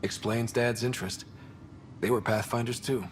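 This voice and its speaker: An actor playing an easygoing young explorer son in a video game, talking in a casual, wry way. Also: male voice, medium pitch, fairly even intonation, normal speed, a little hiss or hum, natural voice. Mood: curious, nostalgic. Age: young adult.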